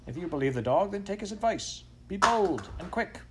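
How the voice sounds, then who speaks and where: A man takes on a farmer's wife from a storybook, a no-nonsense scold. The delivery is bossy, brisk and dismissive. The recording has clear noise. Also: high-pitched, animated, pitched above the performer's own voice. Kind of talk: storybook character voice.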